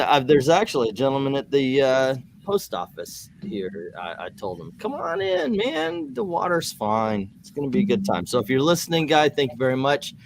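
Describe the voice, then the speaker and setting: A man from the United States hosting a casual comic book read-along stream, rambling and joking in a halting way. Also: medium pitch. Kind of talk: casual rambling livestream chat.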